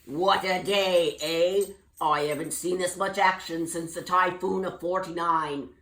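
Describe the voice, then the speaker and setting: A woman's voice: on the low side, fairly even intonation, measured pace. A woman doing a blustery old sea captain. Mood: hearty. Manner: loud, blustery, piratey. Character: gruff old male sea captain, grumbling salt. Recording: echoey room.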